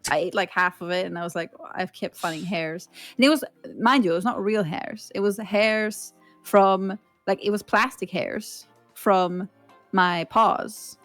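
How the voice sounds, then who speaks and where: A woman's voice: mid-range voice, measured pace, fairly bright tone, dry recording, soft background music. A woman from Sweden with a Swedish accent, a streamer being interviewed, rambling casually with lots of fillers and giggles. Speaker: streamer guest.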